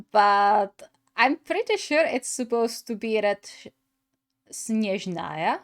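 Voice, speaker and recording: A woman hosting a gaming reaction video with casual, playful, musing commentary. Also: medium pitch. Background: music.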